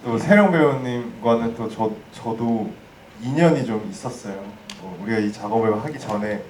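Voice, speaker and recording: A man's voice: mid-range voice, normal speed, a little mellow. A man moderating a post-screening audience talk, speaking casually and hesitantly with many restarts. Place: cinema hall. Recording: roomy, distant mic, faint noise.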